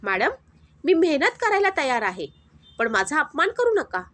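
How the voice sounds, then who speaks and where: A woman's voice: high voice, sing-song, moderate pace, fairly bright tone, voice pushed up. A woman voicing a humble, earnest young man in a storybook narration. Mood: pleading.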